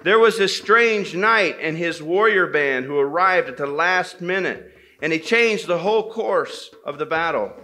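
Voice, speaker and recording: Male live storyteller, higher-pitched and forceful, acting out the dialogue in an oral folktale. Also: expressive, unhurried. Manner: acts out dialogue. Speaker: storyteller at a men's gathering.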